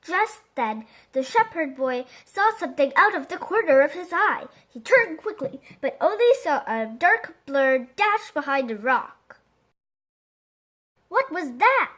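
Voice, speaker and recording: A woman's voice: high voice, big pitch swings, measured pace, hardly any pauses, a bit bright. A woman storyteller narrating a children's picture book expressively.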